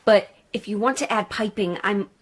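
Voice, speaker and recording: A woman's voice: mid-range voice, moderate pace, a little hiss or hum. A woman chatting casually in a sewing vlog, thinking aloud in a candid, rambling way. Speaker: sewing vlogger.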